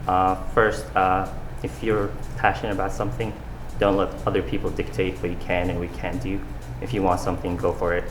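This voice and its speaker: Young male guest, deep monotone delivery, echoey room with audible noise behind. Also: fairly quick. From Saudi Arabia. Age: young adult. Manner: earnest, talks in loose fillers and restarts. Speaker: interview guest, fashion designer. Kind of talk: casual interview answers.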